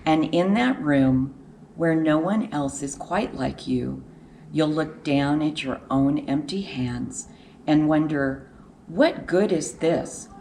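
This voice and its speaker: A woman reading a children's picture book aloud in a measured, expressive storytelling manner with deliberate pauses. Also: sits low, slight background noise, poor audio.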